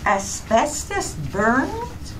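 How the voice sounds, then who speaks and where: A woman plays a daffy, cheerful housewife of radio comedy. Her voice is high and bright, swinging freely as she delivers innocent nonsense in all sincerity. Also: big pitch swings, extremely slow, a little hiss or hum, full character voice, rough recording. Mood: bright, guileless. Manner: guileless, innocently illogical. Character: cheerful scatterbrained housewife with daffy literal logic. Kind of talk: old-time radio comedy acting.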